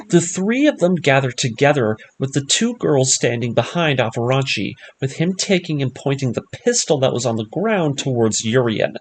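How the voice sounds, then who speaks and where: Male narrator for scripted story recaps, slightly high-pitched, very expressive intonation, music bed underneath. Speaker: narrator of anime story breakdown series.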